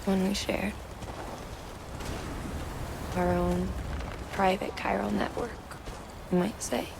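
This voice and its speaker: An actress playing a grieving, devoted scientist in a video game, speaking in a measured, tender and earnest way. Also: female voice, medium pitch, flat delivery, gravelly, in a large hall, noisy background. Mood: earnest, reflective. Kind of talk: dramatic video game cutscene acting. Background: prominent background music.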